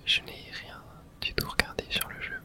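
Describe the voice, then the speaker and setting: Soft whispering ASMR gamer. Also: moderate pace. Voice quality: soft breathy unvoiced whisper. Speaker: video game streamer and host. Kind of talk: whispered ASMR game playthrough.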